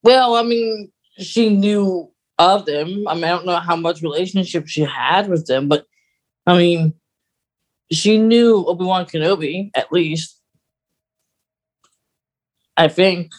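A woman's voice: lowish voice, takes it slowly, pauses often. Woman chatting as a podcast co-host. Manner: conversational, hedging, adds asides and details. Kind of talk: casual, detailed fan discussion.

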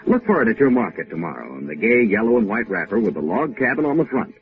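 A man's voice: fairly low pitch, big pitch swings, fast talker. A man reading a scripted 1950s radio commercial as announcer, polished and emphatic. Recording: narrow-band old 1950s radio, faint music.

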